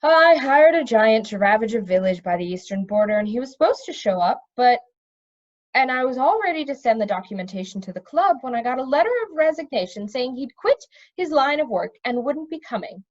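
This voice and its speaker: A woman's voice: on the high side, big pitch swings, brisk, muffled recording, pitched above the performer's own voice. A woman voicing a flustered, earnest middle-aged prince and reluctant wicked uncle, stammering and sighing, in a storybook reading. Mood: rueful, earnest.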